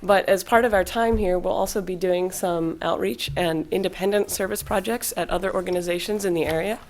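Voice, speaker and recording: A woman's voice: on the low side, steady tone, fairly quick, a little mellow. A young woman who leads a volunteer team, giving an informal presentation at a council meeting. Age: young adult. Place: public council meeting room.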